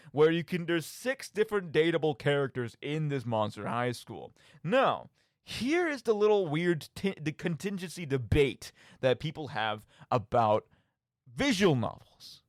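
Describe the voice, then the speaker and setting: A man's voice: on the high side, no echo, clean studio sound. A man hosting a radio show, giving casual solo commentary on games in an emphatic, rambling way, often interrupting himself.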